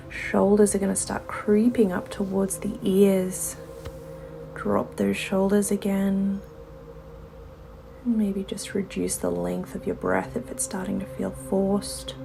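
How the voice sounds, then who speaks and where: A woman leading a guided meditation as a yoga teacher, soothing and unhurried, her soft voice often falling away toward a whisper. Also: medium pitch, little pitch movement, vocal fry, a little hiss or hum, low-quality recording. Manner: unhurried instruction with gentle pauses.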